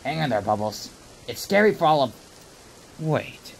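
A woman's voice: extremely low pitch, big pitch swings, moderate pace, creaky, over a noisy crowd, full character voice, poor audio. A woman voicing a determined young male bird who leads his flock in a cartoon comic dub. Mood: concerned. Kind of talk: comic dub character acting. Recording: voice under loud background music.

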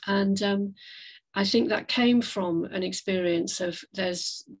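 A woman giving an online slide talk as a guest speaker over a video call, with hesitant, reflective, measured phrasing. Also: medium pitch. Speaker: guest speaker, climate café facilitator. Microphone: video call microphone.

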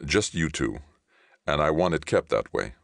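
A man voicing a calm, resolute veteran soldier in audiobook dialogue, measured and decisive. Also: extremely low pitch, no echo, clean studio sound. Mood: quiet, decisive.

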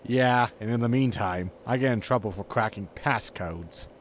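A man's voice: mid-range voice, moderate pace, slight background noise, lightly acted. A man playing an exasperated, sarcastic spaceship captain in a sci-fi sitcom audio drama, with a weary, dry, scolding manner.

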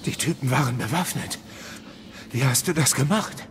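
A man's voice: mid-range voice, normal speed, background noise, natural voice. Man acting a shaken security guard. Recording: music behind the voice.

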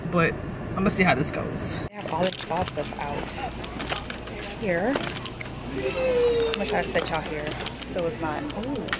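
A woman's voice: medium pitch, background noise. An American woman in her thirties chatting casually and familiarly to her viewers as a lifestyle vlogger. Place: car, then a large store.

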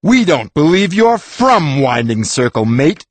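An actor playing a greedy, menacing pirate thug in a fantasy audiobook. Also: male voice, fairly high pitch, big pitch swings, measured pace, dry recording. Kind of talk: full-cast fantasy audiobook acting.

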